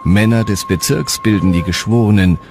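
A man's voice: lowish voice, normal speed. A man reading scripted historical narration for a documentary. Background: music.

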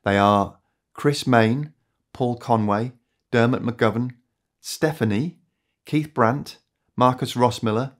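A man in his fifties, hosting a scripted explainer to camera in a small home studio, measured and earnest. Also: medium pitch. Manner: measured, earnest and articulate, addressing viewers directly. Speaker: host of an educational climate and energy channel. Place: small home studio with shelves and lamps, indoors.

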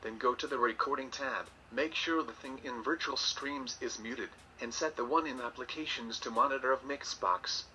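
A synthetic male text-to-speech voice reading out screen text with even enunciation, played through a computer speaker. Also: mid-range voice, moderate pace, few pauses, rough recording. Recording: computer speaker captured with background noise.

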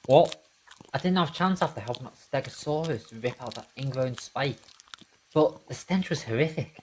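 An actor playing a hapless, complaining dinosaur park worker in a casual manner in an audio drama. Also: male voice, mid-range voice, expressive, normal speed, darkish tone, slight background noise, natural voice, rough recording. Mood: grumbling.

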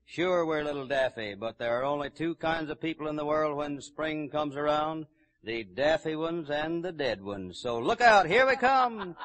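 A man's voice: on the high side, expressive, moderate pace, fairly bright tone. A man working as a folksy, jocular master of ceremonies on a vintage 1940s radio broadcast.

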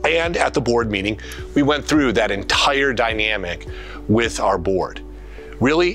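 A man with a mid-pitched voice speaks as a school superintendent delivering a community update. His phrasing is deliberate and emphatic, leaning on key words and itemized lists. Faint music plays behind his voice. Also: a bit bright. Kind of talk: prepared video briefing to community.

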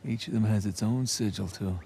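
A man's voice: lowish voice, brisk, vocal fry, dark tone, a little hiss or hum. A man in his thirties with an American accent playing a wry, wisecracking treasure hunter in a video game, casual and sardonic. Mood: intrigued. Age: 30s. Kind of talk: video game cutscene acting.

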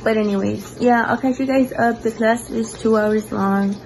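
Young woman, relaxed chatty vlog talk, slightly high voice, phone mic, faint hiss. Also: moderate pace. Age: young adult. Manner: chatty, relaxed, lots of likes and ums. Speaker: vlogger. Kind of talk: casual day-in-my-life vlog. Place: small bedroom at home, indoors. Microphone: phone camera at arm's length.

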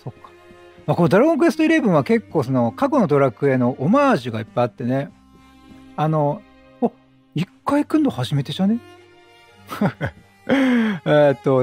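A man commentates on a game in a chatty, reactive way. His voice is highish and very animated, a bit husky, as he thinks aloud. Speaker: game streamer. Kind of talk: chatty let's-play commentary.

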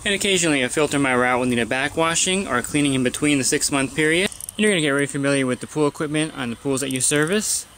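A man's voice: on the high side, fast talker, hardly any pauses, fairly bright tone, a little hiss or hum. A pool service technician talking through his weekly routine in a plain, matter-of-fact instructional voice-over.

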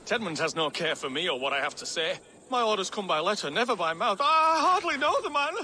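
An actor playing a cowardly monk who stammers and pleads in a video game cutscene. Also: male voice, sits high, sing-song, brisk, heavily acted. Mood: defensive. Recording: loud game music behind.

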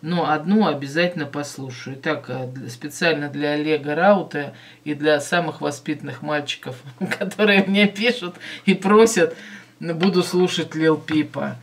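An older woman at home, chatting casually and thoughtfully as she reacts to a music video, often pausing with soft fillers. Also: deep voice. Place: small home room with curtains, indoors.

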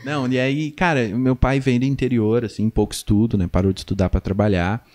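A young man speaks with a southern Brazilian gaúcho accent in a soft-edged, mellow tone at a middle pitch. He tells stories conversationally, often slipping into quoted dialogue, recorded close on a podcast studio mic. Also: steady tone, a little fast. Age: young adult. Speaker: podcast guest, musician. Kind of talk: casual conversational storytelling. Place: small indoor podcast studio. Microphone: close studio mic on boom arm.

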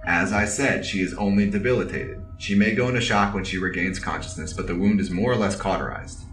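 A stoic, battle-hardened military officer in an audio drama, voiced by an actor. The voice is low and deadpan, every answer terse and literal, sounding roomy and set back from the mic. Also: fairly quick, far from the mic, a little hiss or hum, close to an everyday voice. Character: stoic, deadly, loyal veteran military officer.

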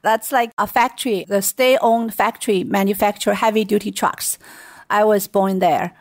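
A middle-aged woman with a Chinese accent, a guest telling stories in a relaxed interview, laughing lightly now and then. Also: medium pitch, moderate pace, no echo. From China. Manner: chatty storytelling with light laughs. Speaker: guest, venture investor. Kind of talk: casual interview.

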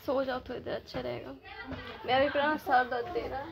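A woman's voice: sits high, fairly even intonation, brisk, creaky, lo-fi sound, a crowd in the background. A young woman chatting casually and teasing her friend, who is filming. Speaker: friend of the vlogger. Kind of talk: casual chat.

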